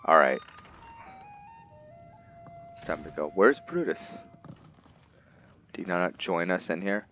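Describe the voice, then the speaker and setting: A man's voice: low voice, lively intonation, takes it slowly, long pauses, bright, forceful tone. A man hosting a roleplay game session, casually commentating in a musing, hesitant way. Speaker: gamer, roleplay host. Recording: dull narrow-band mic over game music. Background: faint game music.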